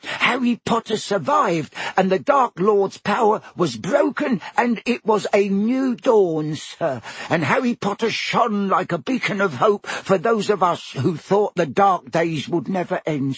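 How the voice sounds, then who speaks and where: A squeaky, servile male house elf, all nerves and pleading, in a storybook reading. The voice is high and husky, recorded close and dry. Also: animated, a little slow, no room sound, exaggerated voice. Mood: fervent. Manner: servile, high-pitched pleading, many "sir"s. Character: timid anxious male house elf servant.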